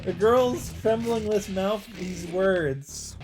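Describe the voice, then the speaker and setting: Let's player, mid-pitched voice, dramatic visual novel read with casual asides, music clearly behind. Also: takes it slowly, rough recording.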